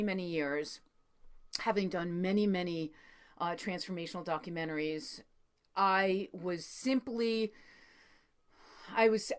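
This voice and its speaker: A middle-aged woman with a New York accent hosting an interview, speaking earnestly and effusively with many fillers. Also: lowish voice. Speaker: podcast host. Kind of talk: interview introduction and chat.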